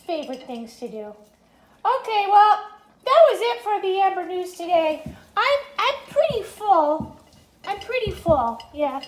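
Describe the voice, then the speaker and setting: Woman voicing a squeaky chatty pet dog. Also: darkish tone, reverberant. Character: chatty young female pet dog, cheerful, food-loving beggar. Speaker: pretend news host. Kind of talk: pet vlog voiced as the dog. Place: home kitchen.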